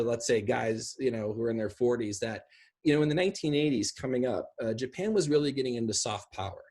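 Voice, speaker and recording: Husky-voiced man in his forties. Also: medium pitch, a little mellow. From small rural town. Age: 40s. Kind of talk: casual discussion on a video call. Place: small room at home, indoors. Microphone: computer on a video call.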